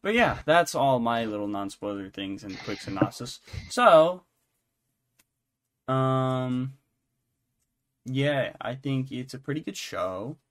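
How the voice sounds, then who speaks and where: Man chatting casually, very lively pitch. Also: slow, pauses often. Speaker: podcast co-host. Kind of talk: casual chat.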